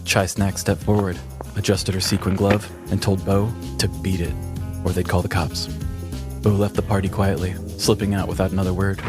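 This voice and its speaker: A man's voice: sits low, level tone, normal speed, music in the background. A man narrating a scripted comedic story in a dramatic storytelling manner. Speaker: narrator and podcast host.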